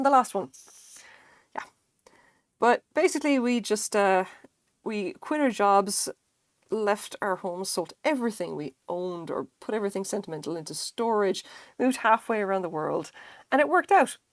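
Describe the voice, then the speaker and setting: A woman's voice: mid-range voice, dry recording. A woman in her thirties with an Irish accent chatting casually on a live stream, rambling, swearing freely and laughing often. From Ireland. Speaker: crochet streamer.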